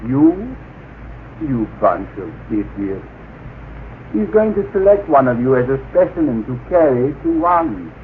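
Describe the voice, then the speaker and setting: An actor playing a haughty alien officer in a radio drama, speaking with formal, precise and condescending diction. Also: male voice, medium pitch, big pitch swings, measured pace. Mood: scornful, commanding. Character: haughty male alien officer, coolly contemptuous of humans. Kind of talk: old-time radio drama acting. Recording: tinny, noisy vintage radio recording.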